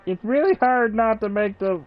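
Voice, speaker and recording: A man's voice: high-pitched, normal speed. A man doing casual livestream commentary while he plays a video game. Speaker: gaming streamer. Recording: dull, slightly lo-fi mic.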